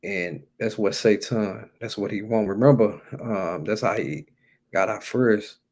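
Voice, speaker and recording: A man's voice: fairly low pitch, a little slow, a little mellow. An American man preaching in an informal, colloquial way, stressing and repeating key phrases as he exhorts his listeners. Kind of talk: informal sermon, exhorting talk.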